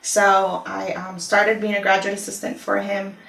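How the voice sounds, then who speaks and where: Ecuador-born woman, 30s, testimony interview, gravelly voice, roomy sound. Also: mid-range voice, level tone, fairly bright tone. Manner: thoughtful, many "um" pauses, storytelling. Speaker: university discipline office director. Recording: echoey room, mic at a distance.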